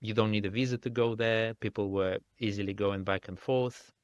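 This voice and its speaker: A man from Russia whose first language is Russian, speaking English in a thoughtful, halting way with many restarts as an indie game developer guest. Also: lowish voice, steady tone, smooth, no room sound, muffled recording. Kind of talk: casual interview conversation. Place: lives in the UK.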